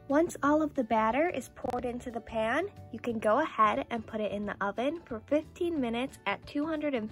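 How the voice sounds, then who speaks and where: Lady doing a happy sweet doll girl for a toy video, highish voice, explains stuff, bit of music behind. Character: cheerful doll girl baking in her play kitchen. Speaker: toy channel host. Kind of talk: scripted doll play narration.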